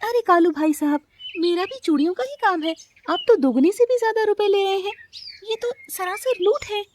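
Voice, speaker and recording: A woman's voice: extremely high pitch, expressive, normal speed, darkish tone, a little hiss or hum, pitched above the performer's own voice. A woman voicing a polite, earnest, hardworking female bird who sells bangles in a children's cartoon story. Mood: indignant, hurt. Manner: earnest, courteous, speaks up for herself.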